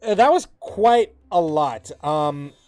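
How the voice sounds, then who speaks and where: A man giving casual live commentary as a gaming streamer, musing informally in a halting way. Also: fairly high pitch, lively intonation, a little mellow, soft background music.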